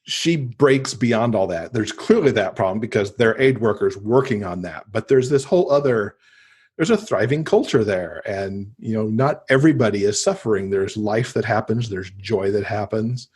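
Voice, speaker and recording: Husky American male, lowish pitch, enthusiastic, casual podcast guest, conversational book talk. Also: expressive, moderate pace, darkish tone. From United States. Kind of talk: casual interview chat, recommending books.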